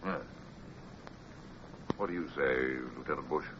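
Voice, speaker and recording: An actor playing a weary, crusty British warship captain in a radio drama, grumbling but authoritative. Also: male voice, a bass voice, unhurried, a little hiss or hum. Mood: grumbling, doubtful. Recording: muffled old radio recording.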